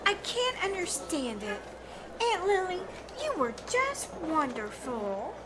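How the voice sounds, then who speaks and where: A woman's voice: extremely high pitch, very animated, slow, exaggerated voice. A woman playing an eager, bossy teenage niece in an old-time radio comedy, emphatic and coaxing. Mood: consoling. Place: small theater stage, live performance. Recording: very noisy live stage recording.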